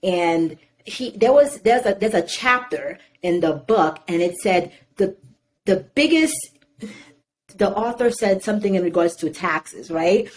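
A woman in her thirties, born in Haiti, telling her life story as an interview guest in an animated, casual way, with many fillers and repeated words. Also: medium pitch, a little slow.

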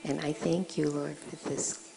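Deep, gravelly soft-spoken woman. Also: level tone, unhurried, background noise. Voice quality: low voice. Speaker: congregation member. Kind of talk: prophetic word and prayer.

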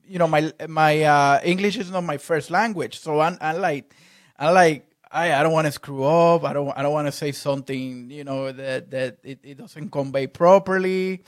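Halting man, higher voice. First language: Spanish. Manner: halting, repeats words. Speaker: podcast host. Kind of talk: casual interview chat.

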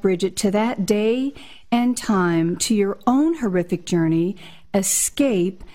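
A woman's voice: medium pitch, a little mellow. Dramatic woman radio host. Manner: dramatic, emphatic delivery. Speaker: show host. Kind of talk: scripted radio-show introduction and interview.

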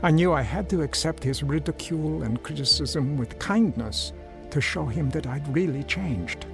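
An earnest, reformed drinker for an audio drama. His voice sits a bit high and sounds humble and sincere, with small hesitations. Music plays clearly behind him. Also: expressive, normal speed. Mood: reflective. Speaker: narrator and lead character. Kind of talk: radio drama acting with first-person narration.

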